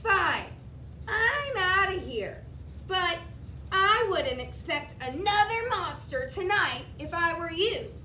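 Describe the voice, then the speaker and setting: A woman's voice: extremely high pitch, sing-song, pushed and bright, roomy sound, slight background noise, voice pushed up, heavily acted. A woman voicing a big scruffy male storybook monster, slurping, whining and trying hard to sound terrifying. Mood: whiny, warning. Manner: slurpy, drawn-out, mock-terrifying. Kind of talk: children's storybook read aloud.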